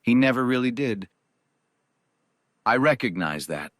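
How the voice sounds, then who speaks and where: A reserved, grieving man played by an actor delivers a solemn eulogy in an audio drama. He speaks slowly and haltingly, his voice rising and falling, recorded close and dry. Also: mid-range voice, expressive, fairly bright tone, no echo. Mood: solemn, reflective. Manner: measured, halting, pausing between phrases.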